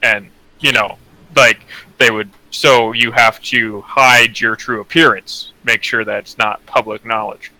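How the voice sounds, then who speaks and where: A man's voice: mid-range voice, fairly even intonation, unhurried, bright, forceful tone, no echo, poor audio. A man from Canada chatting as a podcast co-host, rambling with lots of fillers. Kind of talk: casual gaming chat.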